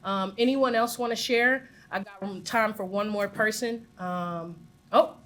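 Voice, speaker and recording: A woman's voice: medium pitch, a little slow. Upbeat woman hosting a live meeting. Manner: upbeat, encouraging, affirming. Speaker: meeting facilitator. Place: large meeting room with audience. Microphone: handheld mic.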